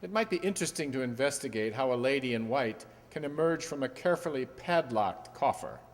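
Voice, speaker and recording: A man playing a shrewd, courteous gentleman detective, assured and inquisitive, in a radio play. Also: medium pitch, expressive, brisk, natural voice. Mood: urbane. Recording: echoey hall reverb.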